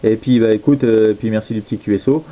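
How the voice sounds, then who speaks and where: Low-voiced hesitant man, slightly muffled. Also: fairly even intonation, fast talker. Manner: hesitant, repetitive, informal phrasing. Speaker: amateur radio operator. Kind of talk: casual radio contact chat.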